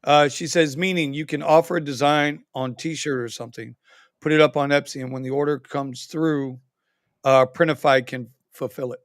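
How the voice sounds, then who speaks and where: Animated man hosting a livestream. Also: a little high, normal speed, dry recording, studio quality. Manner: animated, reads viewer comments aloud. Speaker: livestream host. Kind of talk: casual livestream chat.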